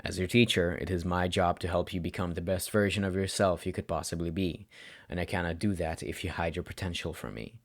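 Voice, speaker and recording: Man voicing a low, flat, stern weary teacher. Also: brisk. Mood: stern, reassuring. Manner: cold, flat, low-key delivery. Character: stern, weary male teacher, gruff but caring.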